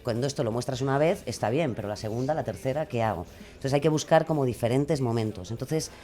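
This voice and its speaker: A woman from Spain with a notably low voice speaks informally as a guest in an interview. She thinks aloud, stopping and restarting as she rewords her explanations. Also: animated, mellow. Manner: halting, thinking aloud, self-correcting. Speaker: podcast creator and guest.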